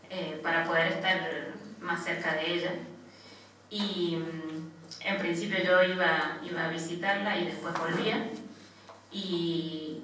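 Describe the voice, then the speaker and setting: A woman's voice: on the low side, a bit bright. Echoey, distant Argentine woman speaker. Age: 30s. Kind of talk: informal personal testimony to a gathering. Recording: echoey room, distant mic, faint noise.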